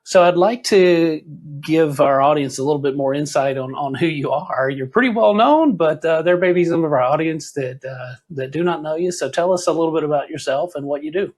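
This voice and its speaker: A man hosting a conversational interview podcast, speaking in a halting, encouraging way. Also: a little high, darkish tone. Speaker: podcast host.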